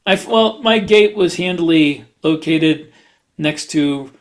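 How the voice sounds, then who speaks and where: A man chatting casually as a podcast host, in a halting, rambling way with repeated words. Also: a little high. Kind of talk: casual chat.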